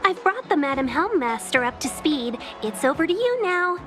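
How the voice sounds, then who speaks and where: A woman's voice: high voice, sing-song, moderate pace. An actress voicing a courteous, sprightly young female envoy in a video game. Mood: polite, bright. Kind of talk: scripted video game dialogue. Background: background music.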